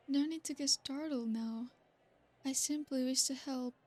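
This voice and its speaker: Female performer as an ancient noble vampire, seductive and possessive. High, even delivery, slow and formal. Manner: soft, formal and flattering. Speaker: ASMR roleplay performer. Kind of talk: ASMR roleplay.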